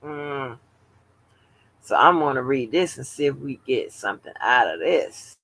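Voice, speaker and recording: A woman's voice: a bass voice, animated, measured pace, vocal fry, fairly bright tone. A woman in her seventies hosting a casual late-night livestream, talking in a rambling, chatty way with salty slang. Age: 70s. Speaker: livestream host.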